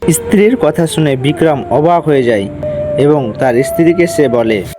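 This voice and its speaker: A man's voice: a little high, animated, normal speed. A man narrating a cartoon moral story in a scripted storytelling style. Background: loud music.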